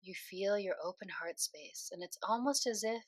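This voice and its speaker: A woman leading a guided meditation in a soothing, unhurried way, speaking phrase by phrase with pauses. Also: medium pitch, no room sound.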